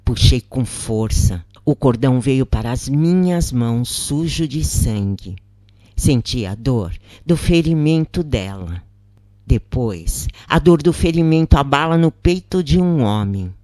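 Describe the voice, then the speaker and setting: A narrator with a Brazilian accent reading a first-person spiritual account from a script in a measured, deliberate way with short pauses between phrases. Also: medium pitch, expressive, mellow, no echo.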